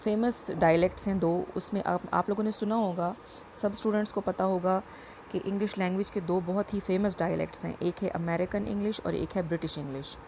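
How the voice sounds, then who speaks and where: A woman teacher with a voice, explaining a lesson patiently and reading English definitions out slowly. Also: lowish voice, fairly even intonation, darkish tone, a little hiss or hum, poor audio. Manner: explains patiently, repeats points, reads English lines slowly.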